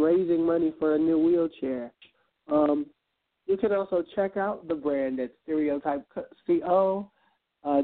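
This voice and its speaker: A man from Guyana, a guest on an internet radio interview, speaking in an earnest, reflective way with many ums and uhs. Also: a little high. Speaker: guest, event planner and entrepreneur. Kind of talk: motivational interview talk. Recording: tinny phone line.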